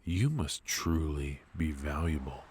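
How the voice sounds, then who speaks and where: A man playing a ruthless, teasing mafia boss in a noir ASMR roleplay, smug and flirtatious, with drawn-out pauses. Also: a bass voice, lively intonation, darkish tone, breathy edge, heavily acted. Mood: mocking, amused.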